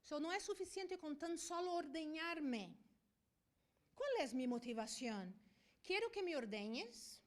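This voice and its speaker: A high-pitched middle-aged woman, a Portuguese native from Brazil, speaking Spanish with the accent she picked up living in Spain. She lectures at a conference in a teacherly way and keeps checking in with the audience. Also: lively intonation. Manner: didactic, often checks in with a quick "ok?". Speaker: veterinarian and university professor giving a talk. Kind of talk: conference presentation.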